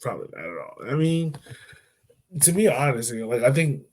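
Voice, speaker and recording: A man co-hosting a podcast, talking football in a loose, animated way with lots of fillers and restarts. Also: medium pitch, brisk. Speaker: podcast co-host. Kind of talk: casual sports chat.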